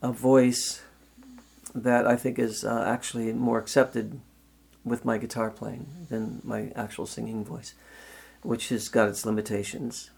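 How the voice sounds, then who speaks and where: An older man, a guitarist, reminiscing in a relaxed interview, rambling and full of "you know". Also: mid-range voice, measured pace, creaky.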